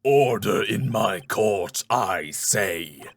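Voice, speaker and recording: A man's voice: fairly low pitch, animated, very slow, pitched below the performer's own voice, exaggerated voice. A man voicing a stern, solemn elderly male judge in a video game, speaking with ponderous authority. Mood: commanding. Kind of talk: video game character dub.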